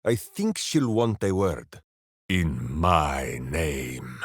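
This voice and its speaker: An actor playing a big-hearted, simple-minded berserker ranger in a video game, declaiming grandly and earnestly. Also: male voice, a bass voice, big pitch swings, very slow, husky, dry recording, studio quality, full character voice. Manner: grandiose third-person declamation, earnest and theatrical. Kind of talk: video game character voice lines.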